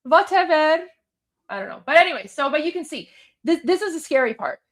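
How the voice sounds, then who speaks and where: A woman with a Toronto accent hosting a live show, commenting on a clip in an animated way, repeating her points with many fillers. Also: high-pitched, brisk. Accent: Canadian, Toronto. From Canada. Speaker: host.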